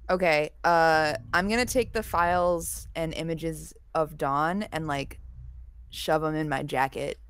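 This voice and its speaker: Lower-pitched woman playing a tabletop game. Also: steady tone, measured pace, clean tone. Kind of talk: casual game chat.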